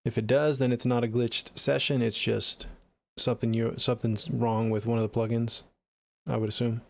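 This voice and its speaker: Middle-aged American man with gravelly fry. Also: medium pitch, little pitch movement, moderate pace. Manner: laid-back, slangy, swearing. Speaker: music production livestreamer. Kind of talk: casual livestream chat. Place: small home studio room, indoors.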